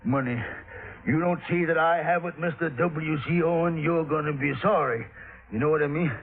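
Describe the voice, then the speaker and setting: An actor playing an irascible, bullying middle-aged businessman from the American South in a 1950s radio drama, gruff, sputtering and repeating his words. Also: a little high, moderate pace, natural voice. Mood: threatening. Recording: old radio recording, narrow phone-like band.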